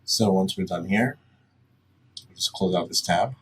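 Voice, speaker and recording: Low-voiced man giving a software tutorial. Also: a little slow, slightly rough. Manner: plain-spoken, instructional. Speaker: tutorial presenter. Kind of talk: casual step-by-step software tutorial. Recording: distant mic, faint background noise.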